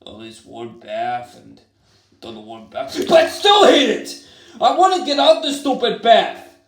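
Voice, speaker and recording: A man voicing a hot-headed male echidna stuck as a duck, shouting and complaining dramatically. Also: very high voice, huge pitch swings, unhurried, pitched below the performer's own voice, exaggerated voice. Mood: grumbling. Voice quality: shouty, whiny, grumbling. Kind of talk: playful homemade toy play. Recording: roomy echoey room.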